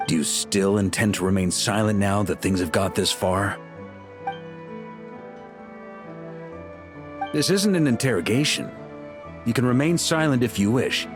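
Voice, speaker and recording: A man playing a stern but humane knight grandmaster in a video game, measured and commanding. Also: fairly low pitch, over music, lightly acted. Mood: firm, compassionate.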